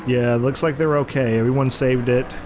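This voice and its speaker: A man with a hoarse, congested voice from the flu, giving casual play-by-play over a racing video game. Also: mid-range voice, fairly quick. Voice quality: rough, congested voice. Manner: excited play-by-play, rising sharply at crashes. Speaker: gaming commentator. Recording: game music and car noise underneath. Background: racing game engine noise and music.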